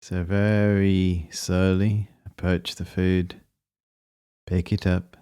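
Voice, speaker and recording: A man leading a guided meditation, speaking in a calm, measured and gently instructing manner. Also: sits low, clear, resonant tone, no room sound, studio quality.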